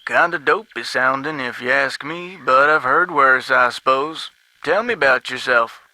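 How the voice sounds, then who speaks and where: Bright, folksy rambling Southern man. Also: mid-range voice, unhurried, no echo. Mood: nosy, blunt. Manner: garrulous, drawling folksy storytelling. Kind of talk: game voice acting.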